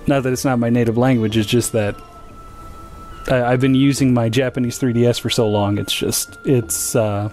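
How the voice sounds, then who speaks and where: A man talks in a relaxed, conversational way as he streams a game, with music playing behind him. His voice is mid-pitched, and his delivery is halting and informal as he chats with viewers. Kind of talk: casual gaming livestream chat.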